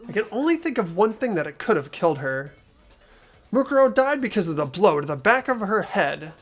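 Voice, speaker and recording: A man's voice: high-pitched, big pitch swings, brisk, faint music behind, exaggerated voice. A man voicing an earnest teenage boy who patiently reasons out a murder case, in a fan-voiced game. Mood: assertive. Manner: earnest, explanatory. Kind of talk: fan-voiced visual novel game acting.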